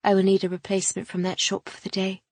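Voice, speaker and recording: A woman reading an audiobook, voicing a proud, tired young noblewoman who speaks in terse, quiet lines. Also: medium pitch, completely flat delivery, fast talker, no echo, studio quality, natural voice. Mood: curt.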